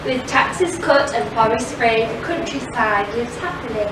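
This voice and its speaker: Woman playing theatrical panto figure, high voice, emphatic, heavy echo, background noise. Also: a little slow, natural voice. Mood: triumphant. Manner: emphatic, declamatory. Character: declamatory pantomime character on stage. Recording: distant, very echoey, clear background noise.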